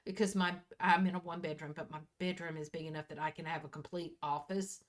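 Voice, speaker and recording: A woman's voice: lowish voice, steady tone, fairly quick. A woman in her early sixties chatting casually to her viewers in a folksy, candid way, often repeating and correcting herself. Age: early 60s. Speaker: vlogger. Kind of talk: casual personal chat to viewers.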